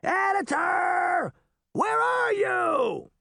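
A man's voice: sits high, sing-song, moderate pace, dry recording, clean studio sound, pitched above the performer's own voice. A man voicing a cocky, defiant boy fairy trickster with a boastful, daring delivery. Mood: shouting, impatient.

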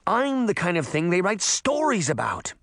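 A man voicing a reckless, power-drunk uncle in a storybook, declaiming loudly and excitedly. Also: sits high, normal speed, dry recording, clean studio sound, pitched above the performer's own voice. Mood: exultant.

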